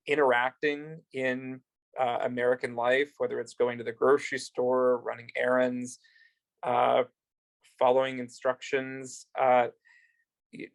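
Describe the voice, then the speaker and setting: A man speaks in a monotone, his pitch barely moving, with many fillers and false starts. He talks as a legal services provider on a video call panel, at a middle pitch for a man. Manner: flat, hesitant, many fillers. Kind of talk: panel talk.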